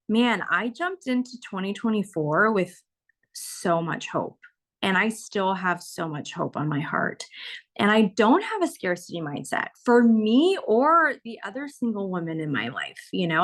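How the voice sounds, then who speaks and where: A woman in her forties from California, sharing her experience of a course in a casual, candid way, with frequent laughs and fillers. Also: medium pitch. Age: 40s. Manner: warm, candid, laughs often, many fillers. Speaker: course member sharing a testimonial. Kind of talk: casual testimonial on a video call.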